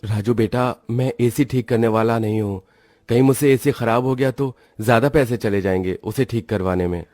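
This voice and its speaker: A man's voice: mid-range voice, normal speed, a little mellow, no room sound, close to an everyday voice. A man voicing a cautious, protective young father and husband in a moral-story cartoon. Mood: patient.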